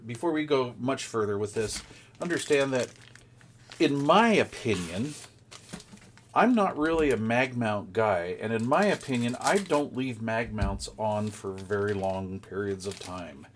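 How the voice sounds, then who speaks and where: Casual guy doing an unboxing vlog, average pitch, rambly and down-home, some clear noise and clicks in the recording. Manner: rambling, folksy, conversational. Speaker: product reviewer and vlogger.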